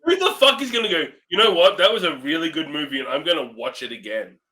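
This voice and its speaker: Animated, bright-voiced sarcastic man. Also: a little high, fast. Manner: incredulous, sarcastic. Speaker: podcast co-host reviewing movies. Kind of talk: casual, profane banter.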